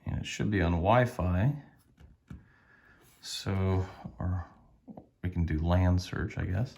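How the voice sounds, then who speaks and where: A man's voice: low-pitched, slow, vocal fry, darkish tone. A man giving a casual hands-on tutorial, thinking aloud in a tentative way as he demonstrates a device. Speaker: product reviewer demonstrating a device.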